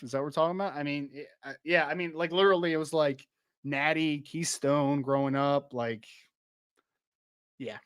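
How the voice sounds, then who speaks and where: A young man chats on his podcast in a loose, rambling way, laughing easily and circling back on his words. His voice sits a little high and sounds slightly worn, as if he is losing it. The sound is dry and close. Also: a bit bright, no echo. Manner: chatty, rambling, laughs easily, many restarts and "like". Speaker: podcast host. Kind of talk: casual live-stream podcast chat with chat readers.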